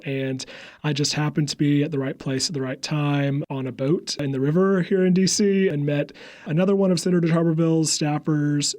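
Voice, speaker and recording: A man's voice: fairly high pitch, darkish tone. A young man from upstate South Carolina with a Southern accent, speaking earnestly as an interview guest, with occasional fillers and stammers. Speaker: guest, think tank media staffer. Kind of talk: conversational interview.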